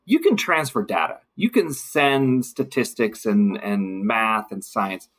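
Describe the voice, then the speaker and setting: A man speaking as a guest in a conversational podcast discussion, reflective and emphatic, with halting phrasing. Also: fairly low pitch, lively intonation, fairly bright tone. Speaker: guest, city council member.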